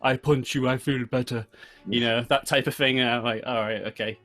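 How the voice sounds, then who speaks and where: British male podcast host, mid-pitched voice, rambling and jokey in loose, casual conversation. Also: steady tone, brisk, a bit bright, soft background music. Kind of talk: casual chat.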